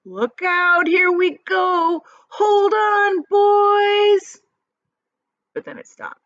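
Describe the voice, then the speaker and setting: A woman's voice: fairly low pitch, creaky, husky, no echo. A woman narrating a children's story dramatically, like a teacher reading aloud, in a slightly muffled room recording. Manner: dramatic, explains words to young listeners.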